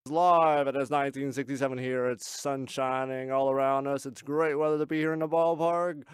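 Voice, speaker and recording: A man's voice: medium pitch, steady tone, moderate pace, smooth, dry recording, studio quality, lightly acted. A man playing a cheerful 1960s ballpark announcer. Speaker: voice actor. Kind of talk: vintage ballpark broadcast.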